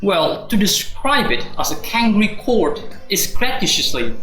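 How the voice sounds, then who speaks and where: A man's voice: high voice, very animated, unhurried, bright, forceful tone, heavily acted. A man playing a sardonic, defensive suspended office worker in a radio drama, wordy and sarcastic. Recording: roomy echo, music underneath.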